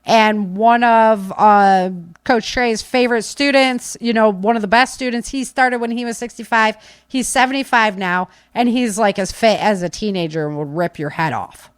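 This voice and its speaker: A woman's voice: on the high side, normal speed, hardly any pauses, smooth, no echo. A woman talking casually and insistently, repeating key phrases for stress like a podcast speaker giving firm advice. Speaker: podcast speaker on preparedness and self-defense. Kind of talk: casual, opinionated talk.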